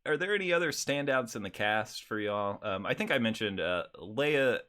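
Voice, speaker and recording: A man's voice: lowish voice, expressive, normal speed, a bit bright. A man hosting a casual podcast, talking in wry, rambling asides.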